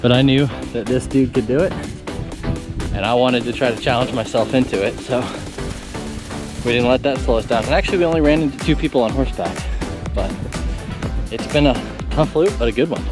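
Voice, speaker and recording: A man talking casually while out on a long hike, relaxed and chatty with plenty of fillers, recorded outdoors. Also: medium pitch, a little fast, long pauses, over music. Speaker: hiker filming his trip. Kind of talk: casual chat while hiking. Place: outdoors on a trail.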